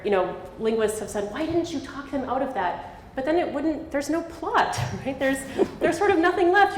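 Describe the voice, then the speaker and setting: A woman's voice: medium pitch, a little hiss or hum. A woman linguist answering questions in a public conversation, explaining in a thoughtful way and laughing now and then. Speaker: linguist giving a public talk. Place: lecture hall. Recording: echoey hall with faint ambience.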